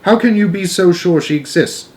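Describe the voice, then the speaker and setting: A man's voice: high-pitched, moderate pace, darkish tone, pitched above the performer's own voice, lightly acted. A man voicing an earnest young boy who asks eager questions in a storybook reading. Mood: curious.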